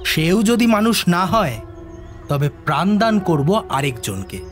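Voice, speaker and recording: An obsessed scientist in an audio drama. He declaims slowly and grandly, defying God, over a music bed. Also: sits high, big pitch swings. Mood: brooding, defiant. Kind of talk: dramatised audio story acting.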